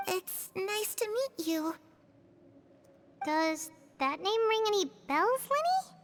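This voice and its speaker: A woman's voice: very high voice, animated, slow pace, background noise, heavily acted. A woman voicing a cheerful, childlike little girl character in a video game. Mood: friendly. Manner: childlike, chirpy. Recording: game music playing loudly behind.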